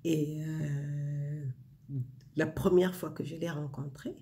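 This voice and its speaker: A woman's voice: low voice, steady tone, slow, warm tone. A woman in her forties talking casually to her viewers in a spiritual vlog, speaking intimately to the listener with frequent fillers. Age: 40s. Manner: intimate, addresses the listener directly, many fillers. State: winding down before bed. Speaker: vlogger sharing inspiration.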